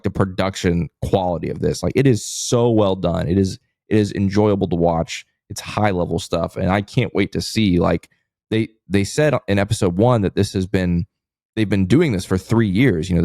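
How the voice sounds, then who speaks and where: American male co-host, gravelly and mellow, casual sports chat. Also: sits low, dry recording, studio quality. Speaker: podcast co-host.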